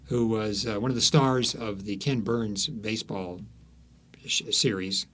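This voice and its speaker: A man speaking as an author guest in an interview, telling stories in a halting, emphatic way that repeats phrases. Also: lowish voice, a bit bright, slight background noise. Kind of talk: conversational storytelling interview.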